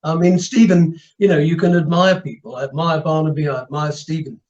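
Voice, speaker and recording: Guy with highish voice chatting in interview, muffled lofi audio, thoughtful and hesitant. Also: fairly even intonation, fairly quick, darkish tone. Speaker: actor, interview guest. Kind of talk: casual interview chat.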